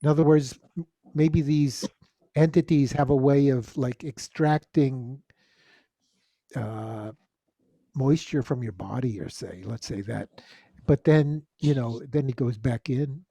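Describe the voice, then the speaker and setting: Male interview host, tentative and musing; unhurried delivery, very dark timbre, dry close-up sound. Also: medium pitch, no room sound. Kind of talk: interview questions.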